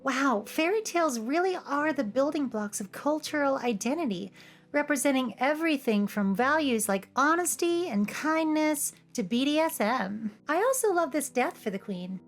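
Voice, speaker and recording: A woman with a high voice delivers humorous scripted commentary as a host. She slips in playful, cheeky asides. Music plays behind her. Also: animated, normal speed. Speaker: video essay host. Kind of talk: scripted, humorous commentary over cartoon clips. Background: background music.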